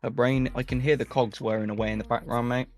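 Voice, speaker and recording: A man's voice: mid-range voice, little pitch movement, fast talker, soft background music. A British man bantering casually with gamer friends, blunt and sardonic.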